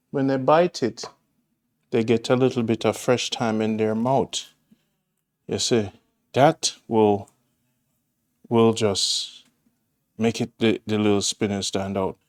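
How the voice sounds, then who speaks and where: Slow-talking Jamaican man cooking. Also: on the low side, a little mellow. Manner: chatty, rambling, homely asides. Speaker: cooking show host. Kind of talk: casual cooking demonstration. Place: home kitchen.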